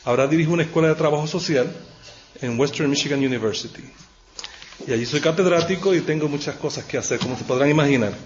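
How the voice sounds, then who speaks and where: A man's voice: fairly high pitch, fast talker. A middle-aged or older man with a Puerto Rican accent, speaking as a guest professor in an informal, good-humoured public lecture. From Puerto Rico. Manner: good-humoured, self-aware asides. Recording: dull, muffled recording with clear background noise.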